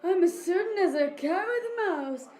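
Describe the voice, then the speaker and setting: A woman's voice: very high voice, expressive, normal speed, darkish tone. A woman playing a folksy, rambling old fisherman in an amateur audio drama. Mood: excited, insistent. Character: old fisherman telling a tall tale.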